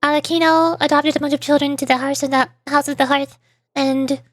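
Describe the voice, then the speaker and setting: A woman's voice: sits high, level tone, a little fast, dry recording, studio quality. A woman streamer giving casual, playful, reactive commentary on a game.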